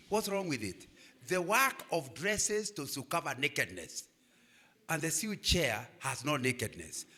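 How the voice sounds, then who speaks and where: Animated older Kenyan man preaching. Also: high voice. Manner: animated preaching, asks "Am I communicating?". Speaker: guest speaker.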